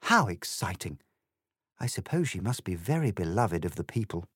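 A man's voice: sits low, big pitch swings, moderate pace, fairly bright tone, husky, no room sound, clean studio sound, exaggerated voice. A man voicing a polite, curious young girl who speaks primly. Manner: prim and polite.

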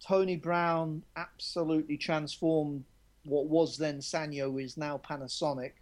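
A man's voice: on the high side, flat delivery, unhurried. A man being interviewed as a rugby journalist, talking candidly and often restarting his sentences. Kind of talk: conversational interview answers.

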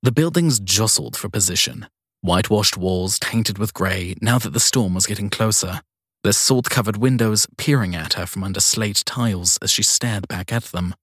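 A man with a fairly deep, husky voice narrates crime fiction as an audiobook. The sound is close and dry, with no room around it. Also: moderate pace, fairly bright tone, no echo.

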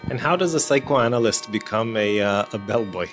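A man's voice: on the low side, lively intonation, a little fast, soft background music. A man interviewing someone, asking curious questions in a casual, joking way.

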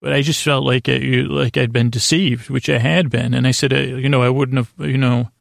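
An American man hosting a sleep podcast, rambling in a halting, meandering monologue with stammers and frequent self-corrections. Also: mid-range voice, fairly even intonation, creaky, dry recording, clean studio sound. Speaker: podcast host.

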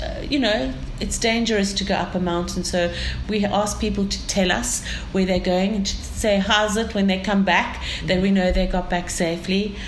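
A woman from South Africa with a South African accent, describing her city as an interview guest in an enthusiastic, storytelling way. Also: medium pitch. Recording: echoey room with faint ambient noise.

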